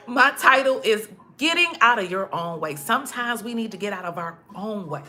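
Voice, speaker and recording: Preachy woman with a bright voice. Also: on the high side. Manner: preachy, emphatic, repeats herself. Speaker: motivational speaker. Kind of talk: casual motivational talk to followers. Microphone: phone.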